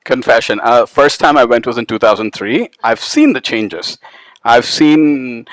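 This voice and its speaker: A man talking about his travels at a book event, animated and quick to laugh. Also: mid-range voice, dry recording. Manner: animated storyteller, laughs often. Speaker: author. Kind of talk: casual talk at a book event. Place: event hall with live audience.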